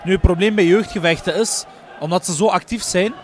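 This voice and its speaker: Man commentating over clear noise. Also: high-pitched, a little fast, a faint crowd. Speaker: fight commentator. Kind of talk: live kickboxing commentary. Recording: noisy event hall ambience.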